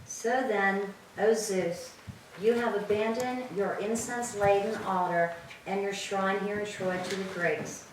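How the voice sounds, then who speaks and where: A woman's voice: mid-range voice, natural voice. A woman playing a mournful, reverent Trojan woman in the chorus of a staged tragedy reading, speaking solemnly. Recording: very echoey hall, distant mic, some noise.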